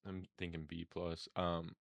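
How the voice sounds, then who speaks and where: Male sports podcast co-host, low pitch, laid-back and slangy, dry recording. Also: completely flat delivery, measured pace, creaky, dry recording. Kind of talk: casual sports chat.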